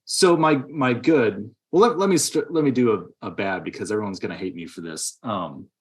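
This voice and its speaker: Male, lower register, casual review-show co-host who acts out quotes while storytelling. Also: normal speed. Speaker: podcast co-host. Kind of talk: casual movie review chat.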